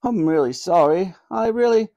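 An earnest, eager young storybook boy acted out by a reader. The voice is lively in pitch and soft rather than sharp, recorded dry and close. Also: moderate pace, dry recording, highs cut off, close to an everyday voice. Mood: remorseful.

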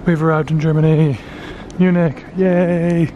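A man's voice: fairly high pitch, a little slow, muffled recording. A man in his thirties vlogging casually from a plane cabin and airport, talking to a handheld camera with lots of fillers. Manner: chatty, relaxed, many fillers. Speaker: travel vlogger. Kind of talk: casual vlog commentary. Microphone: handheld camera at arm's length. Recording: loud background noise.

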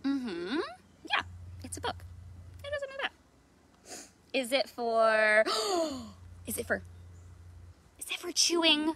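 A woman's voice: high voice, big pitch swings, slow pace, pauses often, a bit bright. A woman in her thirties hosting a children's read-aloud outdoors, theatrical and playful, full of questions. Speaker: children's librarian, storytime host. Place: outdoors in a leafy backyard.

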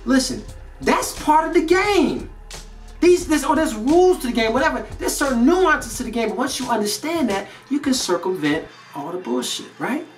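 A man in his thirties with an American accent gives a casual motivational talk to camera in an emphatic, slangy way. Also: high voice. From North Carolina, United States. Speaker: host of a motivational video series. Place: indoor studio with plain grey backdrop. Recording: roomy echo, distant mic, music behind.

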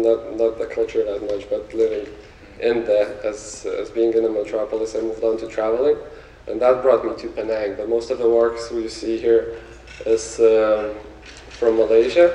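A man in his thirties with a Lithuanian accent, giving a relaxed stage talk about his art and pausing often to find his words. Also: mid-range voice. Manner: relaxed, searching for words with many fillers. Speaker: guest artist. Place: theater stage before an audience. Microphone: handheld mic, recorded from afar. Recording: echoey theater from far off.

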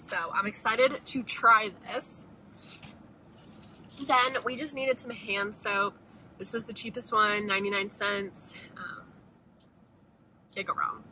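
A young woman chats upbeat and easy about her shopping finds, sprinkling in "like" and "um". Her voice is bright and crisp, at an ordinary pitch for a woman. She talks into a phone held at arm's length inside a parked car, over a faint steady hum. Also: rough recording. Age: young adult. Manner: chatty, upbeat, many "like" and "um" fillers. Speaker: vlogger. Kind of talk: casual shopping haul chat. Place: parked car, then a big store. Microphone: phone camera at arm's length.

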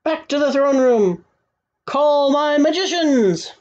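A woman acts out an imperious storybook king, fretful and quick to exclaim. The voice is raised a little and slightly dark, with orders given grandly. The recording is somewhat dull. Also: medium pitch, close to an everyday voice. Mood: commanding. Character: irritable, fretful, commanding male king. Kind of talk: storybook read-aloud.

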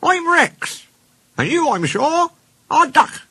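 A man's voice: extremely high pitch, very animated, slow pace, bright, forceful tone, no room sound, pitched above the performer's own voice, heavily acted. A man voicing a small, cheeky green steam engine in a children's storybook, friendly and smug with a teasing manner. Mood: cheerful, proud.

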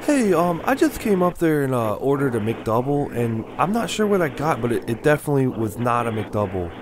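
A young man making a prank phone call as a confused customer, hesitant, bumbling and deadpan. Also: mid-range voice, brisk, noisy background. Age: young adult. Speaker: prank caller. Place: small cluttered room indoors. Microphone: microphone on a stand, close.

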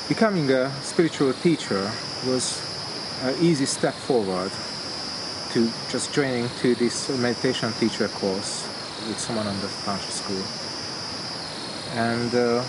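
A middle-aged man from Hungary with a Hungarian accent, speaking English as a second language, giving a testimonial interview in a garden, hesitant with many fillers and restarts. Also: mid-range voice. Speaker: interviewee, yoga student. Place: outdoors in a garden among plants. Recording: loud outdoor insect noise. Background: loud insects and crickets.

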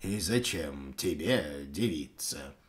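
A man reading a fairy tale aloud, voicing a proud, short-tempered aging tsar in a low, gruff, scornful way. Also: animated, takes it slowly, full character voice.

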